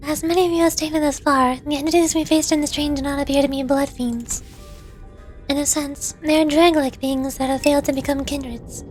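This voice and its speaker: A woman voicing a cool, formal young researcher who lectures in a measured way, her voice going hoarse. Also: high voice, steady tone, darkish tone, faint music behind, close to an everyday voice. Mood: explaining. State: losing her voice.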